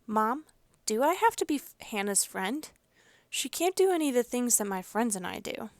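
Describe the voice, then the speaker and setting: Woman voicing an eager young girl, pitched up. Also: moderate pace, no room sound. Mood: hesitant. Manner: eager, excitable. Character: eager young girl, curious about new neighbor.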